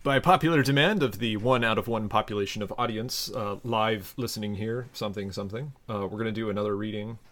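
A man's voice: on the low side, quick pace, hardly any pauses, fairly bright tone. A man chatting casually and jokingly as the host of a podcast. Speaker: podcast host doing readings.

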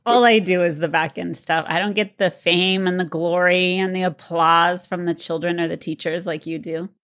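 A woman's voice: fairly low pitch, fairly even intonation, moderate pace, a bit bright. A woman co-hosting a podcast with her husband, chatting casually and teasing him with easy laughs. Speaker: podcast co-host, sexuality coach. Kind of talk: casual married-couple chat.

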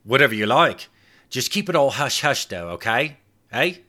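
A man's voice: mid-range voice, expressive, normal speed, sharp tone, voice pushed up. A man voicing a nervous, sheepish male hotel attendant, wheedling and hesitant. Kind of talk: audiobook character voice.

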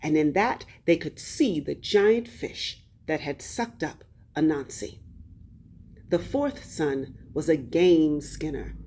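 Woman, folktale storyteller, very slow delivery, slightly low pitch, dramatic pauses, expressive host narration. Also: a little hiss or hum. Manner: deliberate, dramatic pauses and drawn-out words.

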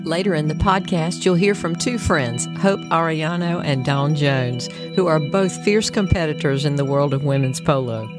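A woman's voice: low-pitched, normal speed, hardly any pauses, over music. A woman hosting a podcast, reading a scripted introduction and asking her guests polished, upbeat questions. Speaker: podcast host.

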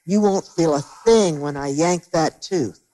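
A woman's voice: low-pitched, a little slow, dark tone, poor audio. A woman voicing a small, brave male mouse dentist from a storybook, polite but firm and exclaiming. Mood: brisk, reassuring.

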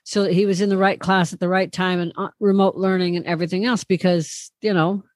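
A woman's voice: fairly low pitch, fairly even intonation, a little fast, darkish tone, no echo, clean studio sound. A middle-aged woman co-hosting a casual podcast, telling rambling stories and teasing her co-host. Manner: chatty, rambling storyteller, teasing. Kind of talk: casual chat.

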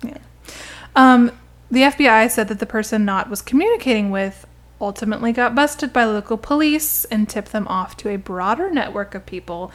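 A woman co-hosting a podcast in casual comedic chat, giggly with deadpan jokes, sometimes reading out news. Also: mid-range voice. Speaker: podcast co-host.

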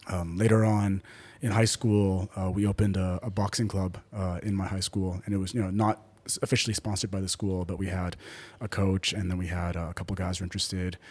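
A man's voice: low-pitched, little pitch movement, a little fast, a little mellow, breathy edge. A middle-aged man from Taiwan, a podcast guest answering questions thoughtfully with many ums and uhs. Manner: thoughtful, many hesitations and fillers. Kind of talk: relaxed conversational interview.

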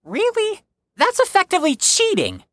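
Pedantic female student played by a woman, audio drama, hugely animated pitch swings, pointed, dry sound. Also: normal speed, no echo, exaggerated voice. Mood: indignant. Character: indignant, pedantic student challenging the lesson leader.

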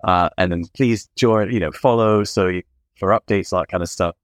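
A man with a fairly low voice speaks as a guest on a podcast. He talks at length in an enthusiastic, rambling way. The sound is dry and close-miked. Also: moderate pace, no echo. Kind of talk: casual interview chat.

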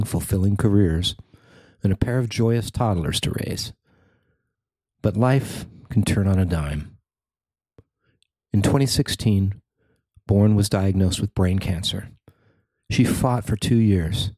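A man narrating a newspaper feature he wrote, in a measured, even reading. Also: low voice, no room sound. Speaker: newspaper columnist reading his story. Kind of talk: scripted narration.

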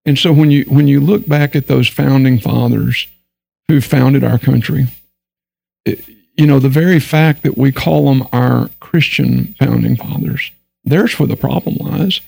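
A man's voice: mid-range voice, unhurried, creaky, mellow, husky, dry recording, low-quality recording. A man from Texas with a Texan accent, speaking as a guest on a discussion panel in an earnest, emphatic way, sometimes acting out the voices he quotes. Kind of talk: candid discussion panel talk.

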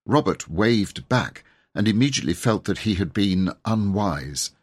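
Male storybook narrator: lowish voice, lively melodic range, measured and dramatic delivery. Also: husky. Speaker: audiobook narrator.

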